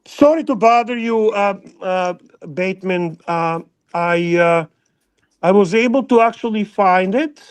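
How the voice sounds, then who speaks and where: A man with a Serbian accent chatting casually about watches on a livestream, halting and restarting often. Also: sits high, clear, resonant tone, dry recording. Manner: halting, lots of restarts and filler.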